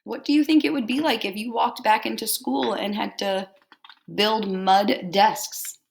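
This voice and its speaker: A woman teacher reading a picture book aloud to children, expressively and deliberately, pausing to put simple questions to them. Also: medium pitch, gravelly. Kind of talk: storybook read-aloud with questions to young listeners.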